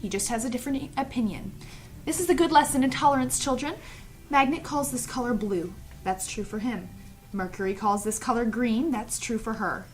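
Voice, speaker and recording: A woman's voice: a little high, brisk, natural voice, low-quality recording. A woman playing a strict, self-righteous trainer of children in an audio drama table read, stern and lecturing.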